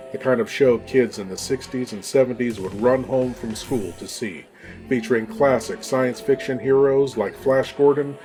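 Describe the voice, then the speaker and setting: A man's voice: mid-range voice, darkish tone. A man announcing a scripted podcast promo in the role of narrator.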